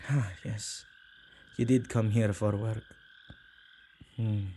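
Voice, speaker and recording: A man playing an earnest, tender male witch who protects ghosts, speaking softly and intimately in an ASMR roleplay. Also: lowish voice, fairly even intonation, slow pace, slight background noise. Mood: tender, calm. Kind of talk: ASMR boyfriend roleplay acting.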